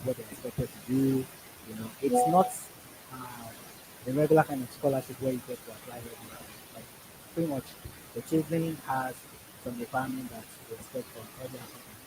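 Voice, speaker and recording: A man hosting a live online webinar, talking loosely with lots of "you know" and "pretty much" fillers and repeated words. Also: medium pitch. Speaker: webinar host. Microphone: computer or phone mic on a video call. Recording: loud hiss and outdoor background noise.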